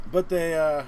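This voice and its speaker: A man hosting a casual live-stream interview, chatting in a halting, rambling way. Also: a little high, gravelly. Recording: steady background noise.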